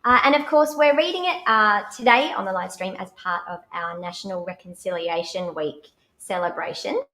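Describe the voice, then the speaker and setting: An Australian woman presenting a live children's show, animated and exclamatory as she speaks to young viewers. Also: mid-range voice, moderate pace. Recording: roomy, echoey live stream sound.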